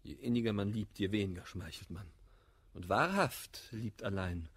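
An actor playing a proud, stubborn, irritable gentleman in a German verse comedy for radio, declaiming with emphatic indignation in a husky voice. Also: lowish voice, moderate pace. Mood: stern, earnest. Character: proud, stubborn, irritable gentleman lover, blunt truth-teller.

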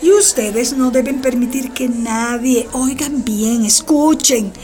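An actress playing a firm, earnest schoolteacher who instructs children emphatically in a radio drama. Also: female voice, a little high, slow, a little mellow. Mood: firm, emphatic. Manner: emphatic, didactic. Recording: music behind the voice.

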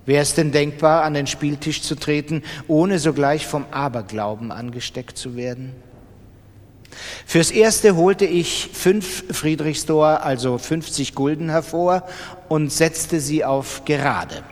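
A restless, superstitious gambler played by a man confides his scornful observations in a radio play. His voice sits fairly high and shifts emphasis with brooding intensity. Long hall reverb trails his words. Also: a little slow, close to an everyday voice. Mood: uneasy, feverish. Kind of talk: literary radio drama monologue.

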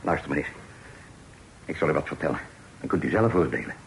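Deep-voiced outlaw sailor, stubborn and proud, old radio play sound. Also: male voice, animated, fast, gravelly, slight background noise, heavily acted, low-quality recording. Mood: confessing. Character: gruff male sailor turned outlaw, proud. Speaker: actor in radio drama.

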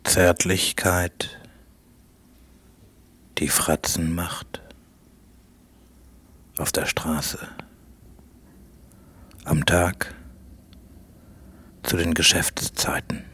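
A man with a very low, husky voice speaks a literary monologue for radio. He moves slowly through short, halting fragments, leaving each phrase on its own. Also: level tone, fairly bright tone. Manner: terse, halting short phrases, sometimes dropping to a whisper.

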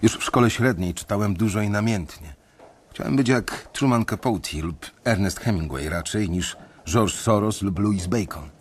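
An actor narrating a radio drama in the first person as a disillusioned young male bank analyst, telling the story in a measured, reflective way. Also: on the low side, husky.

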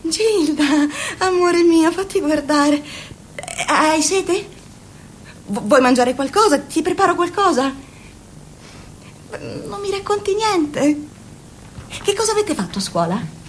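A woman's voice: extremely high pitch, expressive, takes it slowly, pauses often, a little hiss or hum, full character voice. Scolding, doting mother. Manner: dramatic, swings from scolding to cooing. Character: overbearing middle-aged mother, bitter, doting. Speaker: mother of two grown children. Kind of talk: radio play acting.

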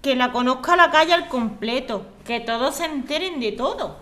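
A woman's voice: high-pitched, normal speed, sharp tone. A woman from playing a proud, reserved neighbour in a scripted radio drama. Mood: reluctant.

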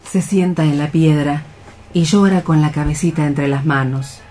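A man's voice: a little high, steady tone, moderate pace, warm tone. A man narrating a radio play, reading out scene headings and stage directions. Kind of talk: scripted radio theatre narration. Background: music.

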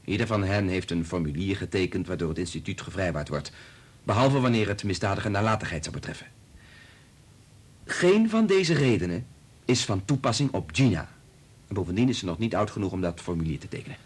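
An actor in a Dutch radio play playing a principled research doctor who is firm, emphatic and quick to anger. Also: male voice, low voice, lively intonation, husky. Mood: firm, irritated. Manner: emphatic, argumentative, swearing.

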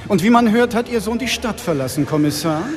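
An actor playing a smug, needling society host in a radio drama, speaking with insinuating disdain. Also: male voice, high voice, expressive, fairly quick, in a large hall, faint music behind. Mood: snide.

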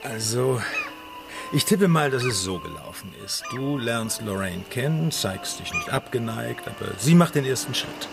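Gravelly, probing male investigator. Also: medium pitch, lively intonation, moderate pace. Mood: needling, skeptical. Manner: probing, insistent questioning. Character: blunt, probing male private investigator, needling and businesslike. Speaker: investigator questioning his client. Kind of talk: German radio crime drama acting. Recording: studio radio play with music underneath. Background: music.

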